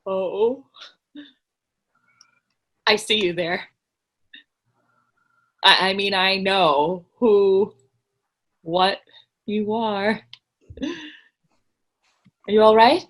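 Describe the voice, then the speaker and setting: A woman playing a timid, gentle young woman in a play reading, speaking hesitantly and apologetically. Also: medium pitch. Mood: startled, tentative. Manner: hesitant, halting, soft-spoken, apologetic. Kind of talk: stage dialogue in a play reading. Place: indoors on a video call.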